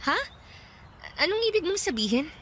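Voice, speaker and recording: A woman's voice: very high-pitched, big pitch swings, moderate pace, a bit bright, a little hiss or hum, full character voice. An actress playing a friendly, teasing young woman who sings in a band, with a Filipino accent, in radio drama style. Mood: friendly, cheerful. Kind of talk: Tagalog radio drama acting.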